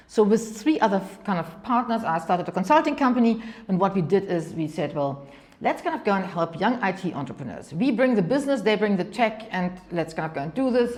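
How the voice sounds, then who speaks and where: A middle-aged woman from Germany speaks English with a German accent, her voice sitting a little low. She tells long, rambling anecdotes and keeps using the filler "kind of go and," doubling back on her words. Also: a little mellow. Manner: talks in long anecdotes, often says "kind of go and", repeats words. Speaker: venture capital partner, guest. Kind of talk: interview storytelling.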